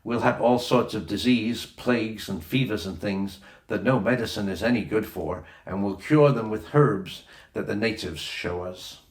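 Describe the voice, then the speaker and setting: A man's voice: fairly low pitch, moderate pace, close to an everyday voice. A man reading a storybook, voicing an imaginative, adventurous young girl who speaks earnestly and knowingly, in an echoey room. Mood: eager, earnest. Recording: roomy echo on the voice.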